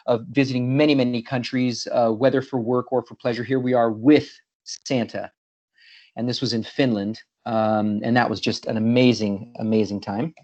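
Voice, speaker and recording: A man's voice: medium pitch, darkish tone, highs cut off. A middle-aged man from California, giving a friendly, casual presentation as a guest speaker and often restarting his sentences. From California, USA. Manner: friendly, with many restarts and repeated words. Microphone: video call.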